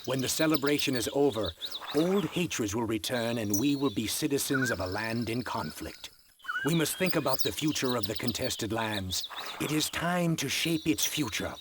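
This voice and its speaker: A man playing an earnest, resolute independence leader in a video game cutscene, speaking in a grave, deliberate, oratorical way. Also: mid-range voice, animated, close to an everyday voice. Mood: earnest, hopeful. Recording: loud nature noise, chirping insects behind.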